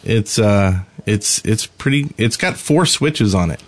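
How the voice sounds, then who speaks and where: A man's voice: on the low side, lively intonation, slow, dry recording. A man co-hosting a casual gaming podcast, talking in a loose, rambling way with hesitant explanations. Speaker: podcast co-host.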